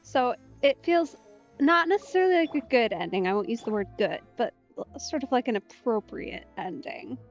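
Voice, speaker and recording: A woman's voice: on the high side, animated, moderate pace, muffled recording, faint music behind. A woman gaming streamer giving casual, chatty, reflective let's play commentary.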